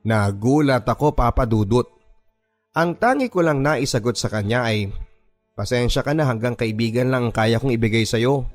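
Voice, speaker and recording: A man's voice: mid-range voice, normal speed. A man with a Filipino accent reading a first-person story as dramatic scripted narration for a radio drama. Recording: music bed under the voice. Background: background music.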